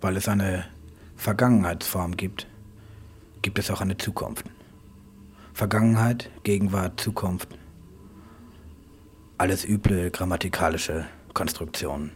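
A man speaking reflective spoken-word radio prose in halting fragments, with a husky voice. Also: low voice, fairly even intonation, a little hiss or hum.

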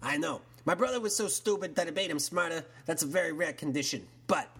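A man co-hosting a comedy show, telling absurd jokes in a deadpan way during improvised banter. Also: fairly high pitch, fast talker, a little hiss or hum. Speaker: podcast co-host.

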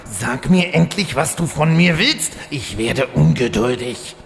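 An actor playing an irritable, easily provoked demon lord in a parody fantasy audio drama, gruff, indignant and defensive. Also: male voice, on the high side, lively intonation, unhurried. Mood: flustered, angry. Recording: reverberant hall, faint noise.

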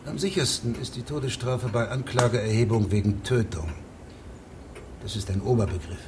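An actor playing a shrewd federal judge in a radio drama, formal, measured and deadpan, with pedantic precision. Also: male voice, mid-range voice, background noise, close to an everyday voice. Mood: dry, pedantic.

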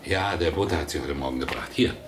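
An actor playing an old, courteous male servant with a creaky voice in a German radio drama. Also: extremely low pitch, a little fast, far from the mic, noisy background. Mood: matter-of-fact.

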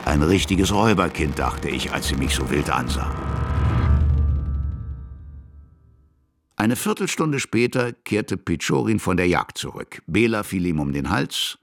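Radio drama: middle-aged army captain, plain-hearted storyteller, animated delivery over clear music. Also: male voice, low-pitched, normal speed, hardly any pauses. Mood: reflective, troubled. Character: older Russian army captain, plain-hearted storyteller recalling frontier tales. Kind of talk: radio drama narration and dialogue.

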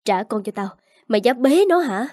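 A woman voicing a furious young mother who shouts accusations in an audio story. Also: on the high side, lively intonation, moderate pace, dry recording, clean studio sound. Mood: enraged. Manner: screaming accusations.

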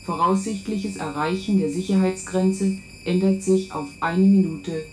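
A woman's voice: on the low side, steady tone, unhurried, close to an everyday voice, poor audio. A woman playing an impersonal monitoring computer in a science fiction radio play, formally reciting measurements and warnings. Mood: impersonal alarm. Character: female-voiced main monitoring computer announcing alarms, impersonal. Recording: 1970s radio recording with slight hum.

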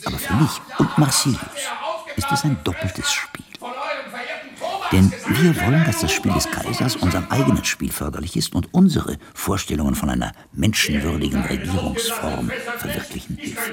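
Deep, gravelly, shrewd old-world monk. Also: male voice, wildly swooping pitch, over loud music, full character voice. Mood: measured, shrewd. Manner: measured, explanatory. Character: learned, shrewd monk, calm mentor explaining church politics. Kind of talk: radio play acting.

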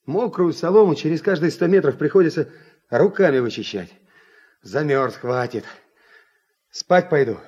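An actor playing a sullen, quarrelsome farm worker who shirks, raspy and grumbling, in a radio drama. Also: male voice, a little high, animated, moderate pace, pauses often, a little mellow. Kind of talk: Soviet radio drama acting. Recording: dull muffled old radio recording.

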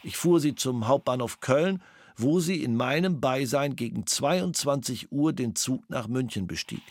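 A man reading a written statement aloud in a flat, even manner. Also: medium pitch, measured pace, a little hiss or hum.

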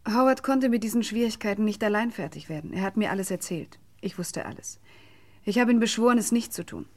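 An actress plays an anguished woman in a sixties German radio crime play. Her medium-pitched voice is earnest and dramatic, confessing in halting, emotional phrases. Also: lively intonation, darkish tone, lightly acted. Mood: pleading confession.

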